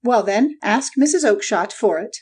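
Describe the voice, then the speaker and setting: A man voicing a surly, fierce market salesman in an audiobook story, shouting with blustering impatience. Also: high-pitched, animated, normal speed, husky, voice pushed up. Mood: angry.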